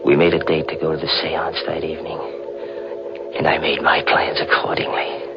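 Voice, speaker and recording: A man's voice: very deep voice, completely flat delivery, normal speed. An actor playing a scheming, paranoid man who believes himself immortal, in brooding, theatrical old-time radio thriller style. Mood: cold, suspenseful. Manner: theatrical, brooding, soothing to his sweetheart. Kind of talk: 1940s radio thriller acting with first-person narration. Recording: muffled vintage radio recording with music.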